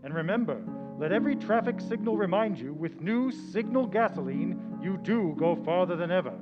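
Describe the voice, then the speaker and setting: A man announcing and reading a sponsor pitch in an upbeat manner for an old-time radio show. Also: animated, moderate pace. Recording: dull old-radio sound with loud music.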